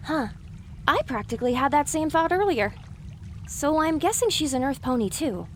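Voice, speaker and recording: A woman's voice: high-pitched, expressive, normal speed, slight background noise. A woman playing an anxious, sarcastic young female pony muttering exasperated asides in an audio drama. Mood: bemused.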